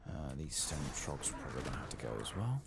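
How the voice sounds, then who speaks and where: This man speaks very low, with plenty of gravelly fry. He keeps a soft, murmured ASMR delivery as a game streamer, casual and a bit sweary, yet his pitch wanders a good deal. Clear noise sits behind the voice. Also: big pitch swings, fast talker. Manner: muttering, casual, mildly sweary. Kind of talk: soft-spoken and whispered ASMR gaming commentary. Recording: clearly audible background noise.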